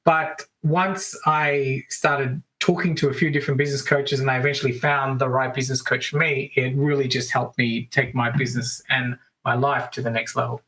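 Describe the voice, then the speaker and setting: A middle-aged man with an Australian accent, a business coach chatting as a guest over a remote call, upbeat with quick asides. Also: mid-range voice, little pitch movement, sharp tone, low-quality recording. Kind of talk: casual interview storytelling.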